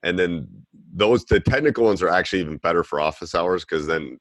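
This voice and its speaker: A middle-aged man with a deep voice, a basketball coach and podcast host, talking in a relaxed, rambling, plain-spoken way. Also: a little fast, dry recording. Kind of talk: casual coaching Q&A call.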